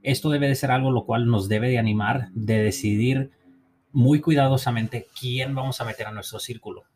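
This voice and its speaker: A man's voice: medium pitch, a little hiss or hum. Male podcast host, middle voice, earnest and encouraging, restates lines as he goes. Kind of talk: motivational talk.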